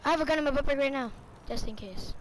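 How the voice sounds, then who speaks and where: An excitable, slangy player chatting casually in character during a crime roleplay game. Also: high voice, fast. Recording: clearly noisy game audio with vehicle sounds. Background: game vehicle and street noise.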